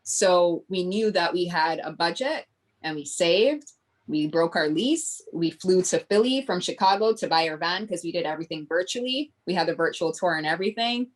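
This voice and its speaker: Woman, Barbadian accent, very low voice, earnest, reflective, casual interview talk. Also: animated, moderate pace, rough recording. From Grenada. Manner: flowing, earnest, reflective with many ums. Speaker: guest.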